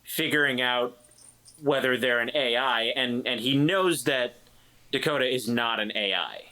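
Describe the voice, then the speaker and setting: A man's voice: mid-range voice, a little slow, bright, forceful tone, rough recording. A man talking casually as a player in a tabletop role-play game, explaining and checking things.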